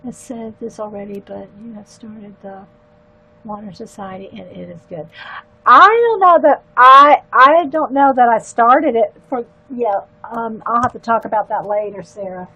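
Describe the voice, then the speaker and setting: Loud chatty older woman. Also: fairly high pitch, normal speed, husky, no echo, highs cut off. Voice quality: loud, carrying voice. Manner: rambling, chatty asides to viewers. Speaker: livestream artist. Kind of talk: casual chat while coloring.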